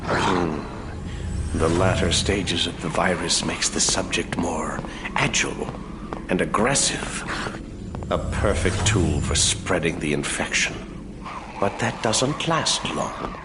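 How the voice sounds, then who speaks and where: An actor playing a coldly sinister, gloating villain in a video game, musing to himself in a deliberate way. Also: male voice, deep voice, heavy background noise. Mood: cold, clinical. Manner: deliberate, self-absorbed musing.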